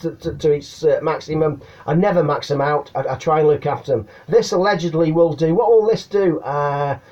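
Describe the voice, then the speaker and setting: A man's voice: fairly high pitch, moderate pace, darkish tone, dull audio. A middle-aged man with an English accent giving casual, slangy advice to camera, hesitating and repeating words as he goes. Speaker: CB radio hobbyist giving advice. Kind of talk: casual chatty explainer to camera. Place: small attic room at home.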